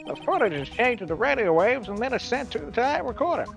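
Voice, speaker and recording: A performer voicing a scruffy, eager, bumbling male police detective with a folksy, excitable manner in a live game fan dub. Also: mid-range voice, quick pace, vocal fry. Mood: helpful, matter-of-fact. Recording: music behind the voice.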